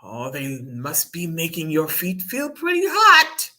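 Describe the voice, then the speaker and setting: A woman's voice: sits low, wildly swooping pitch, slow, sharp tone, full character voice. Woman putting on a sly, bullying storybook dog. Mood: sly, wheedling. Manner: wheedling turning to growled threats. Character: greedy, bullying, sly male dog trickster. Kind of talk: animated children's storytelling.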